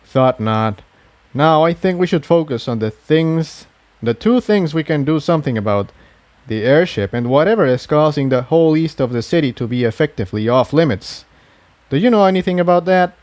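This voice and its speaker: A man's voice: fairly high pitch, animated, normal speed, darkish tone, dry recording, pitched above the performer's own voice, natural voice. Man voicing a troubled, decisive pony hero, slightly raised. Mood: firm. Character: troubled, good-hearted male pony adventurer taking charge.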